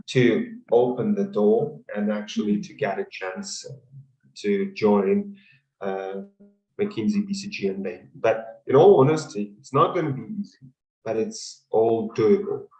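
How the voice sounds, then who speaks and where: A man who is not a native English speaker, coaching in a webinar Q&A and pausing often on fillers. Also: on the low side, animated, a little mellow, echoey room, lo-fi sound. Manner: measured, many hesitant fillers. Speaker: consulting career coach. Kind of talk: webinar talk and Q&A.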